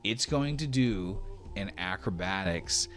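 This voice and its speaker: A man's voice: sits low, expressive, slow pace, fairly bright tone. A man speaking as game master of a tabletop role-playing game, explaining rules and narrating off the cuff in a conversational way. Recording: music playing behind the voice.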